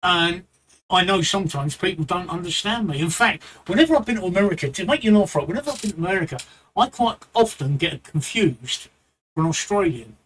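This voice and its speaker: A man's voice: high voice, lively intonation, brisk, fairly bright tone, husky, poor audio. A man with a London accent giving blunt streaming advice live, repeating himself and rambling into asides. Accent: London English. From England.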